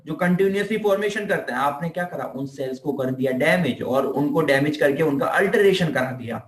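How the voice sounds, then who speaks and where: An Indian-accented man with a high voice teaches in an informal, explanatory way. He stresses points by repeating them and throws out rhetorical questions. The room echoes around him and the microphone sounds some way off. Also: distant mic, a little hiss or hum. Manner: emphatic, repeats key phrases, asks rhetorical questions; mixes in English technical terms. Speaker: physiotherapy lecturer.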